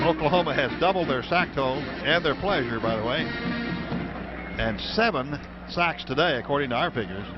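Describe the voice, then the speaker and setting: A man's voice: on the high side, expressive, normal speed, loud music behind the voice. An American man calling live football play-by-play on the radio from a stadium booth. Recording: dull, noisy old radio broadcast.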